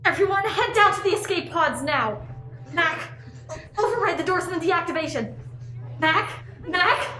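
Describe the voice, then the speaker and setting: A woman's voice: very high voice, expressive, normal speed, pauses often, heavily acted. A teenage girl playing a desperate, frightened spaceship crew member with urgent emotion in a radio play. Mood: alarmed. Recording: echoey room, loud music behind.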